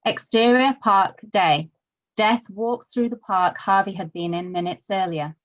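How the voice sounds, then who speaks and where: A woman's voice: medium pitch, unhurried, highs cut off. A woman reading stage directions as scripted narration.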